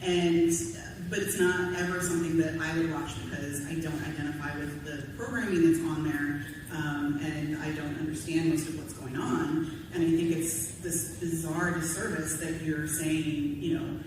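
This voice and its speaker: A woman panelist giving candid, informal personal commentary at a convention panel, talking in a halting way with asides. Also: low voice, steady tone. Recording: echoey hall, distant mic, clear background noise.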